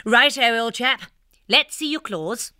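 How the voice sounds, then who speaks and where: A jolly posh hare. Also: female voice, fairly high pitch, expressive, pushed and bright, clean tone, dry recording, studio quality. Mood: playful. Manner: brisk, jolly posh slang. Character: plucky, jolly female hare playing mystic.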